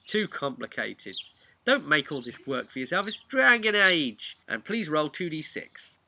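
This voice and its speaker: A man giving an informal, animated, chatty explanation of tabletop roleplaying rules. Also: fairly high pitch, unhurried, a little hiss or hum. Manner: animated, chatty, acts out imagined player remarks. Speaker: tabletop game master giving advice.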